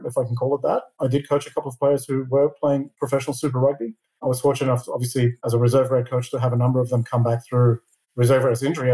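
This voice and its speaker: Iranian-born man, fast and flat. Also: medium pitch, rough recording. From Iran. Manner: measured, repeats words at starts of phrases. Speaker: interview guest, lawyer and board chair. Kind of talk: conversational interview answers.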